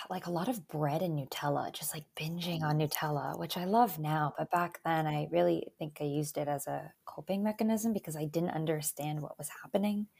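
A young woman from Albania raised in Canada, a native Albanian speaker, telling her life story as an interview guest in a calm, reflective, soft-spoken way. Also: low voice, moderate pace, vocal fry, slightly rough. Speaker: guest, eating disorder advocate.

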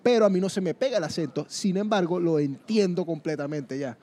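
Venezuelan man, high pitched voice, animated, jokey, repeats himself, casual question game. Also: a little fast. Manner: animated, joking, often repeats words.

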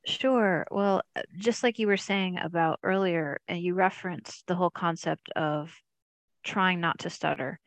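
A woman, a parent who stutters, speaking as a guest in an articulate, conversational way with a steady stream of fillers. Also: on the low side, measured pace, no room sound. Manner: articulate, conversational, uses fillers like "you know". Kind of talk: conversational interview.

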